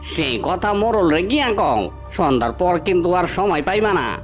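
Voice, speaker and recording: A man's voice: sits high, sing-song, moderate pace, soft background music. A man voicing a threatening, sneering henchman who bullies villagers for their headman in a cartoon. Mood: menacing. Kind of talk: cartoon voice acting.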